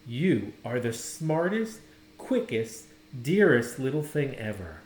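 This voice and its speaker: Man reading as a loving, animated grandmother. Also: mid-range voice, slow pace, roomy sound. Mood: reassuring. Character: loving, reassuring grandmother comforting her little granddaughter.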